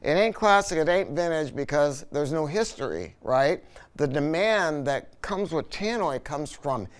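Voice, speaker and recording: A man's voice: a little high, lively intonation, measured pace, clean tone. A man giving an unscripted product review, telling stories in an emphatic, colloquial way with frequent rhetorical check-ins. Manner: emphatic, colloquial, storytelling with rhetorical tag questions. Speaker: product reviewer and audio dealer. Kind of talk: unscripted sales-style product review talk.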